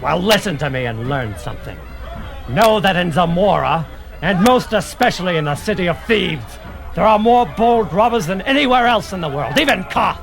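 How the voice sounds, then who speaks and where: An actor playing a fat, gross, boastful kidnapper in a fantasy audio drama, mocking and blustering. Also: male voice, high voice, animated, normal speed, creaky. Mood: mocking, condescending. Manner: blustering, bawdy, theatrical, jeering.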